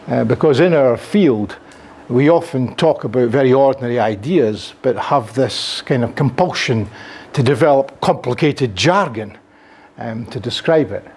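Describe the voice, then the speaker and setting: An older Scottish man gives a lecture in a classroom, with a somewhat husky, breathy tone at an average pitch for a man. He talks in a lively way and gestures while speaking, with plenty of ums and uhs. He is picked up by a camera at the back of the room. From Scotland. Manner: animated, gesturing while speaking, frequent fillers. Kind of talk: conference lecture. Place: lecture room with projector and seated audience. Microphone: room recording from a camera at the back.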